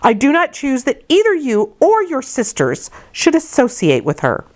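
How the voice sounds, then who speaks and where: Storybook aunt, acted by a woman reader: severe matron, haughty, clipped, imperious, somewhat raised pitch. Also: wildly swooping pitch, normal speed. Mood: harsh scorn. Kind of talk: storybook character dialogue.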